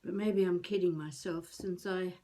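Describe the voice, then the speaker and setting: A woman's voice: on the low side, fairly even intonation, normal speed, warm tone, lightly acted. Wry elderly woman musing to herself, played by an actress. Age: older. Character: wry elderly woman, wannabe novelist, rambling and feisty. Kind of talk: intimate comic monologue as video diary.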